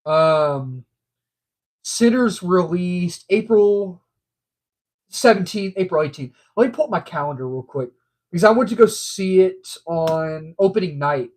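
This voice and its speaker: A man's voice: high voice, a little mellow. A man hosting a casual movie news livestream, stuffed up from allergies, rambling and repeating himself with frequent ums.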